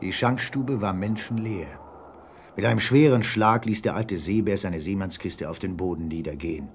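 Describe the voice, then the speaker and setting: An actor playing a salty storyteller with a raspy voice, narrating a German radio play dramatically. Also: male voice, deep voice, normal speed, slight background noise. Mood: atmospheric. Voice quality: husky, raspy. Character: salty storyteller spinning a sea yarn.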